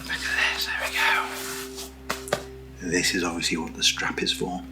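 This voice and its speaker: British man, very low voice, slow pace, soft breathy ASMR murmur, near whisper, playful asides, music behind. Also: monotone. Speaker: ASMR product reviewer. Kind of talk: soft-spoken ASMR, unscripted unboxing chat.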